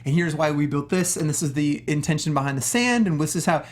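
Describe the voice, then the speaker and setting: Casting: man, fairly high-pitched, an enthusiastic co-host chatting casually about games on a panel show. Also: fast.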